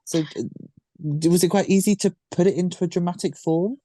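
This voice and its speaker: A woman hosting a casual group interview, asking questions in a rambling, halting way. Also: medium pitch, warm tone, no room sound. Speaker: podcast host.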